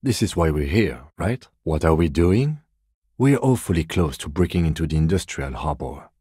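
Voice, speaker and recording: A man voicing a composed, methodical police detective in a video game, speaking in a measured, formal, understated way. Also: a bass voice, very animated, warm tone, husky, no echo, studio quality, exaggerated voice. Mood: measured, serious. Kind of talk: video game character dialogue.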